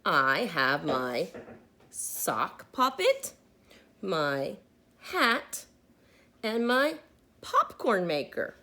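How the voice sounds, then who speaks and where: Silly eager storybook man, voiced by a woman, scatterbrained, naive, swooping pitch. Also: sing-song, extremely slow, long pauses, bright, forceful tone, full character voice.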